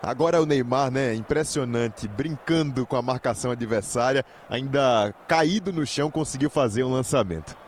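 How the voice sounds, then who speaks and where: A man's voice: medium pitch, normal speed, hardly any pauses. A man with a Brazilian accent giving live analysis of a football match on the radio. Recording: clear outdoor stadium background noise.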